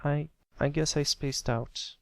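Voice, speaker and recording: A man's voice: a little high, steady tone, takes it slowly, gravelly, no room sound, voice pushed up. A man voicing an earnest, slightly hesitant young colt pony, an eager and wistful little brother in a read-aloud story. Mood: sheepish.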